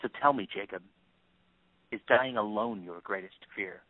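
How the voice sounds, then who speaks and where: An actor playing a middle-aged, grieving father out for revenge, measured, accusing and menacing in an audio drama. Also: fairly low pitch, no echo, natural voice. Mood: accusing, controlled. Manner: measured, accusing, menacing, dwells on repeated phrases. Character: vengeful grieving father, coldly confronting his oldest friend. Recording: phone-like lo-fi line.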